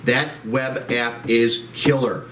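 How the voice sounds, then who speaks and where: A man's voice: medium pitch, fairly even intonation, slow, a bit bright. A man co-hosting a casual real estate chat show, rambling with restarts and upbeat sign-offs, with a soothing voice. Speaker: co-host of a title company show. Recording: roomy, distant mic, faint background noise.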